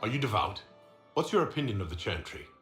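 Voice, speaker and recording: In an echoey room over faint music, the composed hero of a fantasy game. His voice is on the low side, and he speaks in a formal, polite, direct manner. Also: very animated, quick pace, a bit bright. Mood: probing. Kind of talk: video game voice acting.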